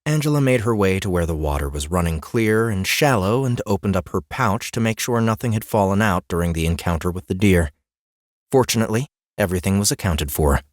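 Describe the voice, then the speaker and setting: A man's voice: low voice, lively intonation, fairly quick, dry recording, clean studio sound. A man narrating a fantasy audiobook as a scripted story reading.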